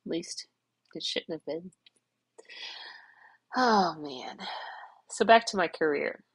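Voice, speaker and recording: A middle-aged woman speaks in a lowish voice, rambling and searching as she reflects aloud. She sounds congested from crying and keeps circling back to her point. The sound is dry and close, with no room echo. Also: expressive, slow, slightly rough. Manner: rambling, tearful, self-reflective. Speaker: vlogger. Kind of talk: emotional personal vlog, external processing.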